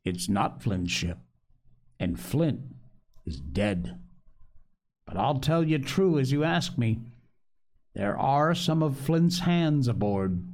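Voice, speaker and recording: A man's voice: mid-range voice, big pitch swings, takes it slowly, long pauses, darkish tone. A man voicing an earnest, polite and cautious young adventurer in an audiobook, in a plain, well-spoken way. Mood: earnest, grave.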